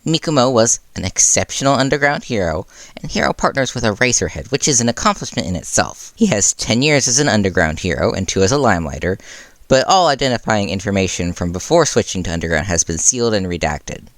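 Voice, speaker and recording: Lively low-voiced man narrating a story. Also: quick pace, no echo. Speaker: author reading own fan fiction.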